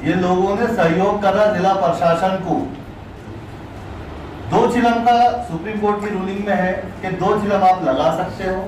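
A middle-aged man addresses a formal meeting in a high-pitched voice. He builds his points phrase by phrase with insistence. The sound is very reverberant and picked up from a distance, with faint noise. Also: fairly even intonation. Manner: deliberate, phrase-by-phrase, insistent oratory. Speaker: city qazi, community religious leader. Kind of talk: public address at an administrative meeting. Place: crowded indoor meeting room. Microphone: distant camera microphone. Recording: echoey room, distant microphone, faint noise.

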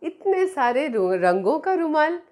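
A middle-aged woman with an Indian accent tells a children's story in a fairly high voice. Her intonation is very animated, rising and falling widely as she addresses her young listeners directly and expressively. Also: racing. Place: small indoor room. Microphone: clip-on lapel mic.

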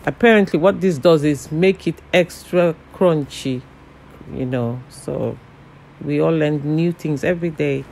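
A man casually talking through a home cooking recipe step by step, relaxed and chatty with frequent fillers. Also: a little high, a little slow, creaky, a little hiss or hum. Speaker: cooking channel host.

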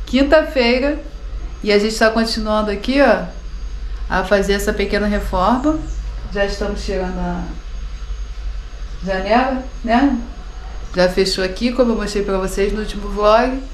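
Hoarse Brazilian woman filming her home. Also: medium pitch, normal speed, pauses often. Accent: Brazilian Portuguese. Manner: chatty, addresses viewers often. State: hoarse from a sore throat. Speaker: vlogger. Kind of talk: casual home vlog. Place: house under renovation, indoors. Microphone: phone at arm's length. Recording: echoey room with clear background noise.

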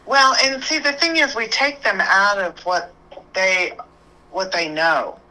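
A woman's voice: mid-range voice, slow pace, sharp tone, rough recording. A woman guest who works as an animal communicator, talking casually in a strong, emphatic voice. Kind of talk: casual conversation.

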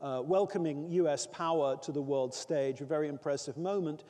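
A middle-aged man giving an academic keynote lecture from a lectern. He speaks in a thoughtful, measured way with frequent pauses. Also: on the high side, warm tone. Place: large conference hall, at a lectern. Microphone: lectern microphone. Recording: reverberant hall sound.

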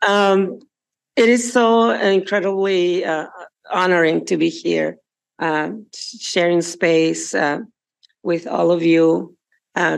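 A woman from Mexico introducing herself on a panel, reflective and halting, speaking into a table microphone in a large hearing room. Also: fairly low pitch, vocal fry.

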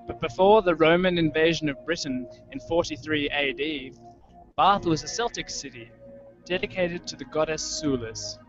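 A man in his thirties giving a measured, poetic literary reading. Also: on the high side. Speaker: writer reading his own fiction. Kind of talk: literary reading over a live soundscape. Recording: dull lo-fi web stream audio. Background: music.

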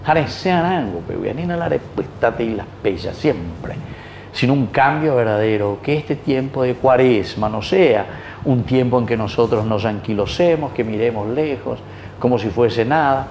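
Mellow Paraguayan priest in a roomy space. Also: male voice, mid-range voice, normal speed. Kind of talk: Gospel reading and homily. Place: small wood-panelled chapel, indoors. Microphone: lapel mic. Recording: roomy echo, faint background noise.

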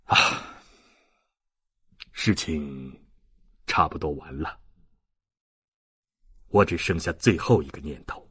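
A man voicing an anguished, weary old ex-convict with dignity in a dramatic, halting audiobook reading. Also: very deep voice, a bit bright, breathy edge, dry recording, clean studio sound, pitched below the performer's own voice, full character voice. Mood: weary, resigned.

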